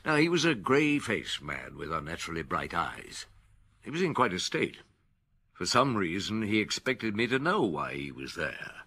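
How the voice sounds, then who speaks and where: An ageing male police detective in a radio drama. His lowish, roughened voice moves freely up and down as he questions patiently and dryly, pausing on thoughtful hums. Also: sing-song, sharp tone, full character voice. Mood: reminiscing. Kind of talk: British radio drama acting.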